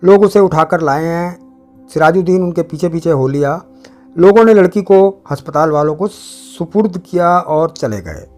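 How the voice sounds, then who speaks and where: A man's voice: a little high, normal speed, a little mellow, soft background music. A man narrating a literary short story in a scripted, dramatic reading style.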